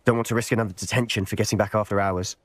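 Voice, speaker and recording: An actor playing a bold, loyal young student with a quick, forthright manner. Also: male voice, fairly low pitch, steady tone, no room sound, natural voice. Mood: urgent.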